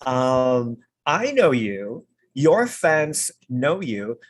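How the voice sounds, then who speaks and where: A man's voice: mid-range voice, big pitch swings, extremely slow. A man hosting a casual video call interview, chatty and prone to repeating his words. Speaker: pageant interviewer.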